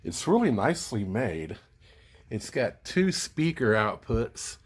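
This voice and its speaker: A man's voice: medium pitch, big pitch swings, breathy edge. Rambling man in casual show-and-tell. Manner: rambling, halting, thinking aloud. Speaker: vlogger showing vintage audio gear.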